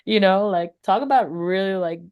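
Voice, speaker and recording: A woman's voice: medium pitch, moderate pace, smooth, dull audio. A woman poet and teacher talking casually in an interview, rambling and full of fillers like "like" and "you know.".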